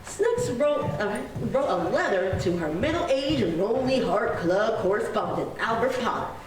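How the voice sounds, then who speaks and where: A woman's voice: a little high, animated, normal speed. A woman announcer reading a scripted advertisement and narration in the style of old-time radio. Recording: very echoey room, distant mic, noisy.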